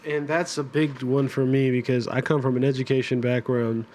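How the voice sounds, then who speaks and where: A streamer muses out loud in a relaxed, thoughtful way, working through each idea step by step. His voice sits at a middle pitch, with a faint hiss of noise behind it. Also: moderate pace. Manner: thoughtful, builds long reasoned points. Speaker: streamer reacting to a video. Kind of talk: casual reflective commentary.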